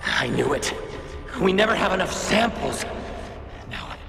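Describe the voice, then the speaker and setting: An actor playing a tired, obsessive, jealous scientist in a video game, theatrical, swinging from muttering to shouting. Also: male voice, sits high, lively intonation, unhurried, creaky, full character voice. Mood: bitter brooding. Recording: echoey reverberant hall, music behind.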